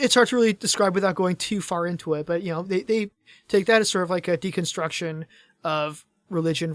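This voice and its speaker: A man's voice: high-pitched, fairly bright tone, dry recording. A man co-hosting a casual gaming podcast, rambling and chuckling as he talks, his voice tired from hours of talking. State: tired voice starting to fade after hours of talking. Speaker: podcast co-host.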